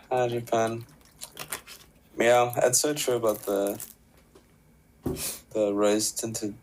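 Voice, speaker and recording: A man's voice: mid-range voice, flat delivery, drawn-out words, long pauses, slight background noise, rough recording. A man chatting in a laid-back, reflective way, often calling his friend bro. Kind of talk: casual chat.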